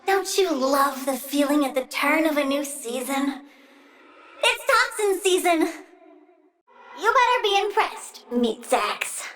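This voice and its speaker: A woman's voice: high voice, lively intonation, takes it slowly, a bit bright, recorded from a distance, full character voice, poor audio. A woman voicing a childlike, plant-powered villainess in a video game, girlish and bratty, petulant and gleefully menacing, with a sing-song delivery. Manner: bratty, sing-song, swinging from cutesy to threatening. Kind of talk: video game character voice lines.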